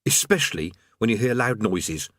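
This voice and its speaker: A wary, cowardly wizard, as a male narrator puts him on, speaking in a slightly raised, dry and deadpan voice. The tone is bright and close. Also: fairly low pitch, normal speed, no echo. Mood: wry.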